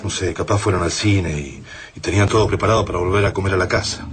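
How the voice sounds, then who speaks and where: Man playing a low-voiced, earnest Argentine survivor. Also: fast talker, background noise, natural voice. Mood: wary. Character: earnest man surviving an alien invasion, wary, tender. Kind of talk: radio drama acting. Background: music.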